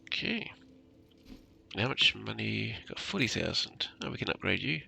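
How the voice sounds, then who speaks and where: A man's voice: fairly low pitch, very animated, moderate pace, gravelly, noisy background. A man giving casual commentary as he plays a video game, muttering to himself and often tagging on "eh?". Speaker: gamer commentating a playthrough. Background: game music.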